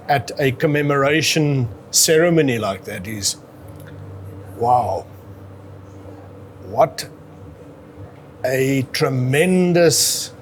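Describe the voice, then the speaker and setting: A man from South Africa speaking English with an Afrikaans accent, unhurried and deliberate. He pauses and clears his throat between thoughts. A faint noise sits under the voice. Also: medium pitch. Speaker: interviewee. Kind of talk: measured interview answers.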